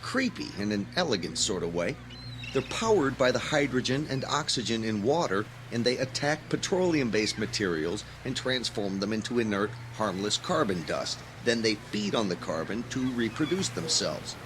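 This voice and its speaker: A man's voice: fairly low pitch, sing-song, moderate pace, background noise. A man acting in an audio drama as an earnest, idealistic young graduate student with an intense, preachy manner. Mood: earnest, explaining.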